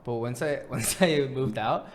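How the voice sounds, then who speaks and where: A man's voice: medium pitch, a little slow, slight background noise. A man from New York chatting casually on a podcast, telling stories about his parents, laughing and swearing freely. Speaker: podcast co-host. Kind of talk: casual chat.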